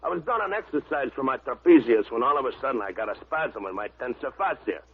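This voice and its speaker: An actor with an American accent playing a brash, eager muscle-bound sidekick in an old-time radio drama, blunt and slangy. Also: male voice, medium pitch, animated, quick pace, a little hiss or hum, close to an everyday voice. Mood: earnest complaining. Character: brash muscle-bound gym enthusiast, cheerful male sidekick. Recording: muffled tinny vintage radio recording.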